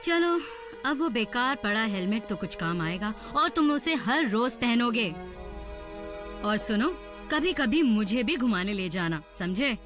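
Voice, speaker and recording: A woman's voice: a little high, animated, a little slow, a bit bright, soft background music. An actress voicing a strict but caring, anxious mother in a cartoon dub. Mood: scolding. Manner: scolding, anxious.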